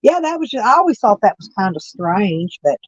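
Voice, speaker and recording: A hoarse woman with stuffy sinuses, rambling folksily as she chats with live viewers from her camper van. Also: medium pitch, sing-song, a little fast, dry recording, lo-fi sound. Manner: rambling, folksy, self-correcting. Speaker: vlogger chatting with live viewers. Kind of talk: casual morning chat.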